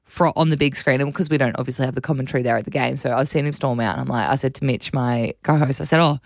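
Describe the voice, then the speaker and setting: A woman with a raspy voice and an Australian accent, co-hosting breakfast radio with casual, animated chat about sport. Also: extremely low pitch, fast, no room sound, studio quality.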